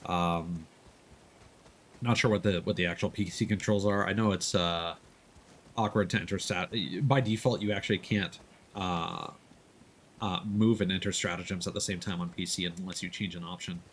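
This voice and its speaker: A man's voice: deep voice, steady tone, moderate pace, slight background noise. A man doing live let's-play commentary, casually explaining the game as he plays. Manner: casual, instructive. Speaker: game streamer. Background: video game ambience.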